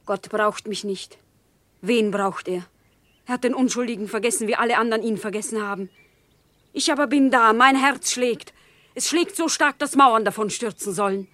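A woman's voice: on the high side, normal speed, sharp tone, no echo. An actress in a German radio drama playing a fervent, obsessive woman of the common people with a solemn, impassioned delivery.

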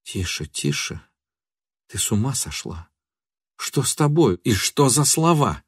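A man voicing a bewildered, remorseful lover in a story, speaking in a hushed, sorrowful, pleading way. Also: lowish voice, animated, moderate pace, dry recording, clean studio sound. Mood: hushed, soothing.

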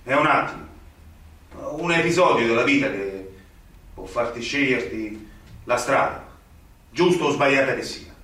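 An actor playing an idealistic young magistrate in a radio drama, questioning insistently and rhetorically, with indignation. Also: male voice, mid-range voice, a little slow, long pauses, bright, forceful tone. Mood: earnest, resolute. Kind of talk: theatrical radio drama acting. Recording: very echoey room, distant from microphone.

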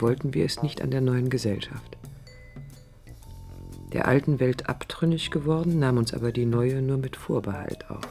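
Passionate young woman, radio drama, reflective, searching, creaky voice, deliberate pauses. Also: a bass voice, mellow, heavily acted. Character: passionate, searching young woman architect looking back on her life. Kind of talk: intimate reflective radio drama monologue, 1980s.

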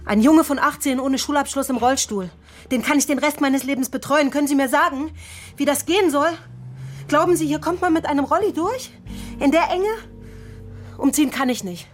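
A woman's voice: high-pitched, moderate pace, close to an everyday voice. Woman acting middle-aged mother, exhausted, bitter, plain-spoken, accusing, naturalistic radio drama, music underneath. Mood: bitter, agitated. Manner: reproachful, plain-spoken, emotionally raw. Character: bitter, exhausted working mother of an injured teenage son.

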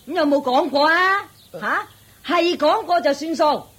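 A woman's voice: high-pitched, expressive, unhurried, a bit bright. An actress playing a scornful, bossy mother-in-law in a radio drama, sneering and hectoring in Hong Kong Cantonese.

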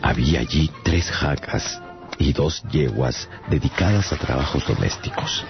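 A male actor playing an afflicted castaway traveler who tells his story earnestly and dramatically in a gravelly voice, in a radio drama. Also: rumbling low, animated, measured pace, heavily acted. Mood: bewildered, earnest. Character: afflicted English castaway man recounting his strange voyages. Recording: dull sound under prominent music. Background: music.

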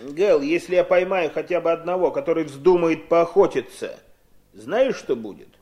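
Actor as a brusque, irritable middle-aged father, higher-voiced. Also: moderate pace, a little mellow, lightly acted. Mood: gruff. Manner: brusque, nagging, repeats himself for emphasis. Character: irritable, bullying, grasping Southern father. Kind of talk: theatrical radio drama acting.

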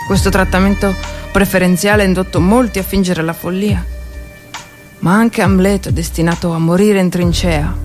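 A woman's voice: medium pitch, a little mellow, natural voice. Actress as anguished woman lamenting war, over music. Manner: dramatic, pleading, addressing God. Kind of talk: theatrical monologue with music.

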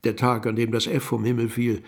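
Musing male narrator with creaky edge. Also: mid-range voice, monotone. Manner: musing, deliberate. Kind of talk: literary radio play narration. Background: music.

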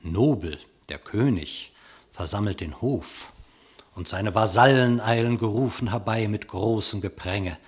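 A man narrating a literary radio drama in verse, with a measured, declamatory delivery. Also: on the low side, breathy edge.

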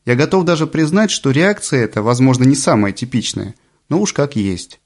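A man reading a nonfiction audiobook aloud in a measured, deliberate narration, slowing down to stress key phrases. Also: medium pitch, animated, darkish tone.

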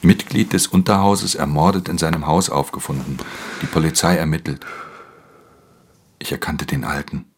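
An actor playing a wary, world-weary pickpocket in a radio play, terse, hushed and matter-of-fact in his telling. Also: very deep voice, fairly even intonation, normal speed, slightly rough, dry recording, exaggerated voice. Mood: terse, grave. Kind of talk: German radio play narration and dialogue. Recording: music under the voice.

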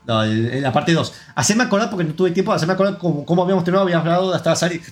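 An Argentine man hosts a relaxed gaming podcast in a somewhat high voice, rambling from one news item to the next and reading listener comments aloud. Soft music plays faintly underneath. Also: racing. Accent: Argentine Spanish. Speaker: podcast host. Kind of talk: casual gaming news chat.

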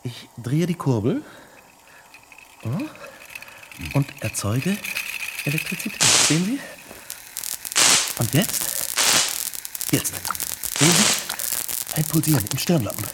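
A driven young science student, higher-pitched. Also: male voice, big pitch swings, slow, long pauses, very noisy. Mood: absorbed, excited. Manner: intense, insistent, articulate. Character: driven, fearless young male science student, obsessed with life. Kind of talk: German radio drama acting.

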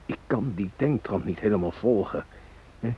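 An actor in a radio drama playing an ordinary captive family man, shaken but protesting in halting, plain-spoken phrases. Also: lowish voice, breathy edge. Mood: bewildered, indignant. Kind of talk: radio drama acting, naturalistic. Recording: dull lo-fi radio drama recording.

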